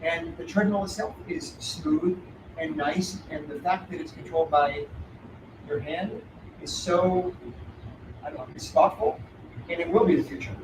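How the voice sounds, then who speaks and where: A man's voice: a little high, unhurried. A man, a running expert, speaking enthusiastically and loosely to an audience. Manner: enthusiastic, loose, uses fillers like "like". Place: event room with audience. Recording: roomy hall, distant voice, clear noise.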